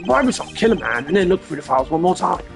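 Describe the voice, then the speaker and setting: A man's voice: high-pitched, sing-song, racing, creaky, over music, voice pushed up, exaggerated voice. A man voicing a bumbling, earnest male police detective who stammers and keeps saying sir, in a fan dub of a video game. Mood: puzzled. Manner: stammering, calls everyone sir.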